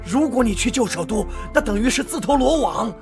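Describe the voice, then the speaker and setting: A captive scientist obsessed with human evolution in a motion-comic drama. The voice is high for a man and swings widely as he explains and warns dramatically, with music clearly behind. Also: expressive, a little fast, exaggerated voice. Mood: urgent. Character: captive male scientist, fanatic about evolving humanity.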